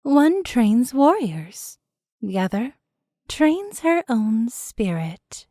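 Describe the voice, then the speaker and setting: A mysterious storyteller played by a woman narrates a fantasy tale in a fairly high voice. She is very slow and portentous, recorded dry and close. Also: big pitch swings, dark tone, no room sound, exaggerated voice. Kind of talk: dramatic scripted narration.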